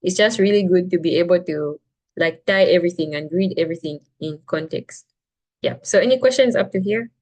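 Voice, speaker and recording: Young woman from Zambia, casual tutor, talks loosely with fillers, slightly low pitch. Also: brisk, a little mellow. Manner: chatty, frequent "like" and "right?", restarts sentences. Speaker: SAT reading tutor. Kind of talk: casual classroom teaching.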